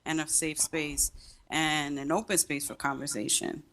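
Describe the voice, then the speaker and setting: Lower-voiced earnest woman guest. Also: flat delivery, moderate pace, a bit bright. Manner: earnest, grateful. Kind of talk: casual online chat.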